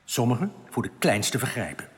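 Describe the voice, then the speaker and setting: An actor playing a male alien outcast leader, reassuring, earnest and quietly bitter, explaining things deliberately in a radio drama. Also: medium pitch, sing-song, lightly acted. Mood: solemn, bitter. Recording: long cavernous reverb.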